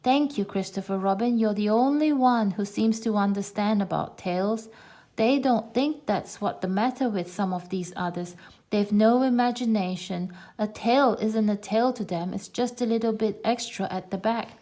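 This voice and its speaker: A woman voicing a gloomy, self-pitying old male donkey who grumbles slowly. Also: mid-range voice, warm tone, lightly acted. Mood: gloomy grumbling. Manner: slow, grumbling, sorrowful.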